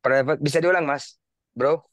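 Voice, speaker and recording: A man's voice: a little high, moderate pace, no echo. A man with an Indonesian accent, a learner in an online English class, who speaks hesitantly and repeats words after the tutor.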